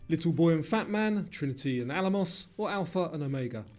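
Higher-voiced mellow man reading quiz questions. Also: expressive, a little fast. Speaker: quiz narrator. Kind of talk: scripted quiz narration. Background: music.

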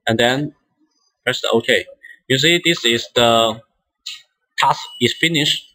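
Give this to a man with a bright, forward voice and a middling pitch. He is a non-native English speaker walking through software step by step. His phrasing is halting, and he often restarts. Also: low-quality recording. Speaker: instructor demonstrating software.

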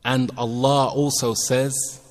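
A man's voice: mid-range voice, little pitch movement, slow. A man making brief scripted announcements in a religious audiobook. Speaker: announcer of headings. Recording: long hall-like reverb.

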